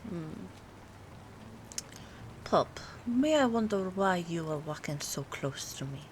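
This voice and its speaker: A woman acting an aloof, teasing old vampire in an ASMR roleplay, speaking softly and formally with hesitant pauses, often near a whisper. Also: lowish voice, animated, poor audio. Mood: teasing, fond. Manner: formal, hesitant, haughty phrasing with drawn-out pauses. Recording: clear background ambience noise. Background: rain, city ambience, soft music.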